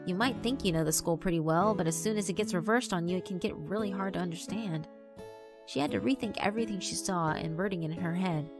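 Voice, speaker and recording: A woman's voice: fairly low pitch, fast talker. A woman giving scripted narration for a visual novel, reading as a storyteller. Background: background music.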